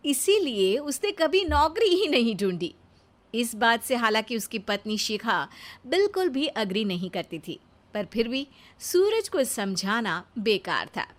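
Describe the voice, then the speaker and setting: Female cartoon-story narrator: high-pitched, animated intonation, scripted read. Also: a little slow.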